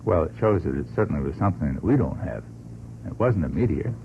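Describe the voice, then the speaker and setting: A man's voice: low-pitched, expressive, quick pace, vocal fry. A man answering as an interview guest, explaining his reasoning in an unscripted, methodical and emphatic way. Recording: tinny, noisy vintage radio recording.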